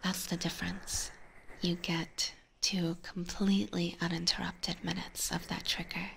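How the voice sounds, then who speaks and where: Slow, whispering woman, close ASMR mic. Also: lowish voice, little pitch movement, a bit bright, poor audio. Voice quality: breathy, mostly unvoiced whisper. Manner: informal, chatty, addressing viewers directly. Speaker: ASMR live streamer. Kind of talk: whispered ASMR livestream, casual chat with viewers. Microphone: close binaural ASMR microphone.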